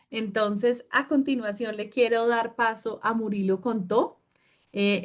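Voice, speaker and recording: A woman, moderating a webinar and introducing things in an informal, chatty way. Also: medium pitch.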